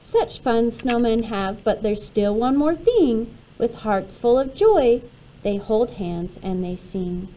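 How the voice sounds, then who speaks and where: A woman reading a rhyming children's picture book aloud in an expressive, measured way. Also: on the high side, a little hiss or hum, poor audio. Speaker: storybook reader.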